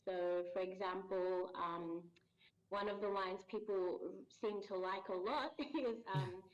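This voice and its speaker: A woman voice actor being interviewed as a guest, explaining her work in a measured, thoughtful way with many pauses. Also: mid-range voice, fairly even intonation. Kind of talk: casual interview chat. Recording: dull lo-fi sound.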